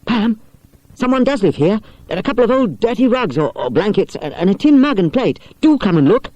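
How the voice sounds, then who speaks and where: Man doing an excitable, high-pitched schoolgirl. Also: brisk, no room sound, rough recording. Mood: excited. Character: excitable, indignant schoolgirl detectives.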